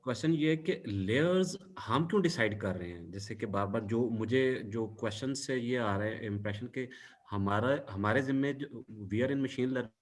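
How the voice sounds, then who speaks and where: A male student speaks at a medium pitch. He puts questions to his teacher in an online class, working through his thoughts aloud with halting, reworded phrases. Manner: halting, thinking aloud, rephrasing.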